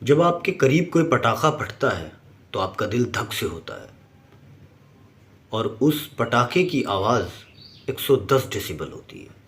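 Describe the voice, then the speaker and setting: A man's voice: medium pitch, big pitch swings, takes it slowly, a bit bright. A man narrating a scripted explainer in an emphatic, didactic manner. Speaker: presenter.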